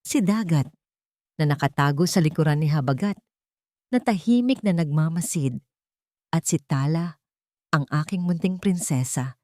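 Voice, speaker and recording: A Filipino woman reads a dramatic story in a scripted narration style. Her voice sits fairly low, and the recording is dry and close. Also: dark tone, dry recording.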